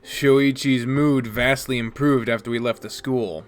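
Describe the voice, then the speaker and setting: Man, casual game narration, mid-pitched voice, surprised squeaks. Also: fairly even intonation, unhurried, smooth. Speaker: gamer reading a visual novel aloud. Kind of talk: reading game text aloud with jokes aside.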